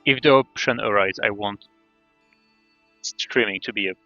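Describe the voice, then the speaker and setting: A man chatting casually on a game livestream, halting often as he thinks aloud. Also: on the low side, pushed and bright, no room sound. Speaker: game streamer. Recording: dull band-limited mic, faint music.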